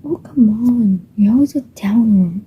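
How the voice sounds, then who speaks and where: Cheerful eager young girl, excitable and bubbly, as a woman puts her on, soft and breathy, on a dull, muffled home recording with faint noise behind. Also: medium pitch, measured pace, natural voice. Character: cheerful eager eleven-year-old girl.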